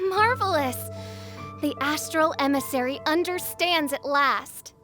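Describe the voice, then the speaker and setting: Pompous gothic princess girl, high-voiced. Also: slow, bright, forceful tone, exaggerated voice. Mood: grandiose. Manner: pompous, archaic dramatic diction. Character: theatrical teenage girl posing as grandiose gothic princess. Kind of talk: video game character acting. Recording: music behind the voice.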